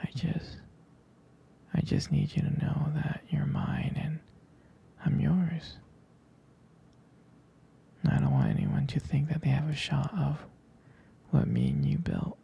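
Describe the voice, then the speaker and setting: A man playing a jealous, protective and doting boyfriend in an intimate roleplay ASMR, speaking softly and hesitantly in whispers. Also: a little high, animated, slow pace, vocal fry, long pauses, husky, dull audio, full character voice. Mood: jealous, possessive.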